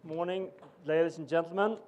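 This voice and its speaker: A middle-aged man with a Swedish accent gives a measured, formal speech. His voice sits a little high, and he pauses often on "uh" between phrases. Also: fairly even intonation, darkish tone, lo-fi sound, slight background noise. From Sweden. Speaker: business executive giving a speech. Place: ceremony venue.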